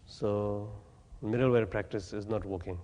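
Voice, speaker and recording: A man from Tibet, giving a Buddhist teaching in halting, plain English with gentle humor. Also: lowish voice, steady tone, long reverb tail, slight background noise. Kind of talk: dharma talk to an audience. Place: talk venue with audience.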